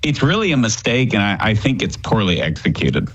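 Guy guest on talk radio, low voice but swings all over, dull lo-fi line. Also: a little fast, slightly rough. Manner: animated, laughs, pitch jumps up when exasperated. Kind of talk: conversational radio interview.

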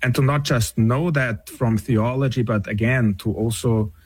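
A man's voice: mid-range voice, measured pace, breathy edge, no room sound. A man, a counselor speaking as a guest in a conversational interview, reflective, often ending thoughts with "right?".

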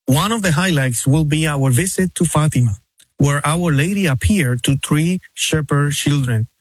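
A man reading a devout invitation to a pilgrimage, measured and slowing on key phrases. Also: a little high, breathy edge, dry recording, low-quality recording. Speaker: pilgrimage promoter. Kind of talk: read promotional invitation.

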